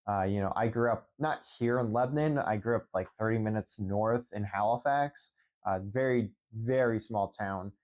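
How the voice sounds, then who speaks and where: Young guy from central PA, lowish voice, rambling into his phone at home, lots of restarts. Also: moderate pace, a little mellow. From Central Pennsylvania. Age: young adult. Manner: rambling, many restarts and fillers. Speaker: esports head coach. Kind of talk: unscripted vlog monologue.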